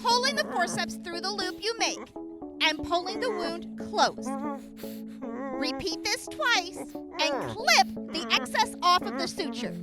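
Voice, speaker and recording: A woman's voice: very high-pitched, very animated, a little slow, full character voice. A woman playing a perky, sassy young girl medic in a post-apocalyptic radio drama, with a sing-song delivery. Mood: perky, instructive. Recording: music playing behind the voice.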